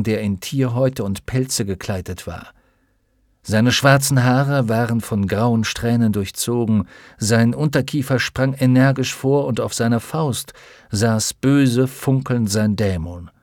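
A male audiobook narrator with a mid-range voice, reading a story with steady, even pacing. The recording is close and dry, with no room sound. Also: medium pitch, dry recording. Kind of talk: scripted narration.

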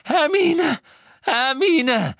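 A frantic teenage boy played by a male reader in a storybook. His voice leaps high and loud, pleading with desperate loyalty. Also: expressive, slow pace, no room sound, heavily acted.

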